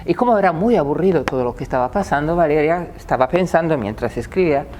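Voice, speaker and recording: A woman's voice: deep voice, lively intonation, fast talker, a little mellow, a little hiss or hum. A woman in her sixties, a native Italian speaker with an Italian accent, giving a public talk and often repeating words as she searches for them. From Italy.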